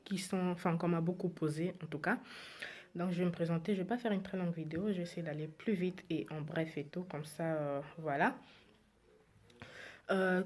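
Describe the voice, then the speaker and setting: A woman's voice: fairly low pitch, darkish tone. A woman in her twenties who grew up in Belgium and is a native Lingala speaker, casually introducing herself and answering followers' questions, repeating phrases for emphasis. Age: 20s.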